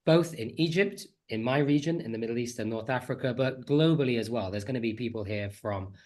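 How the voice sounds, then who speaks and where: A man's voice: medium pitch, a little fast, darkish tone. Man, slightly nasal from a cold, friendly conference presenter, video call mic. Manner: friendly, chatty, self-deprecating. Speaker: conference speaker, teacher trainer. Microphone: computer mic on a video call.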